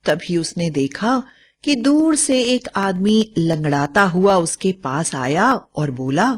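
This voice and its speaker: A woman's voice: lowish voice, expressive, slow. A woman narrating a scripted story as a storyteller.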